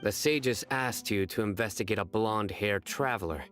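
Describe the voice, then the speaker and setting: A man acts out a stern enforcer of justice in a video game. The voice is very low, grave and measured, with formal, resolute delivery over faint music. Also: a bit bright, natural voice. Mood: accusing. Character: stern, principled young male officer of justice, solemn and resolute. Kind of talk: dramatic video game voice acting.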